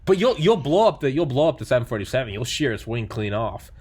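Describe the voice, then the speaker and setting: Man, casual chatter, excitable and rambling, swears freely, mid-pitched. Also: quick pace, background noise. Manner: excitable, rambling, swears often, many restarts. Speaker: gamer chatting. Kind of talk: casual chat.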